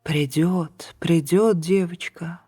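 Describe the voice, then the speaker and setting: A woman narrating a fairy tale in an unhurried, hushed manner, at times softly whispery. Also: fairly low pitch, fairly even intonation, slightly rough, dry recording, clean studio sound. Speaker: audiobook narrator. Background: soft background music.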